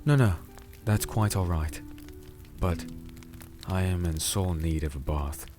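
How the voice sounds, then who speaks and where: An actor playing a weary, disenchanted crusader who narrates a dark fantasy audio drama in a slow, solemn, gentle way. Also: male voice, deep voice. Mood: tender, reassuring. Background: music with rain sounds.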